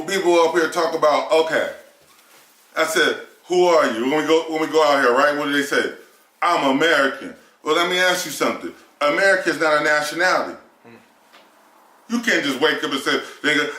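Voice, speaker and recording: Man, fairly high voice, bright tone, emphatic, argumentative, rhetorical questions, roomy echo. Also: fairly quick. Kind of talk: heated casual discussion.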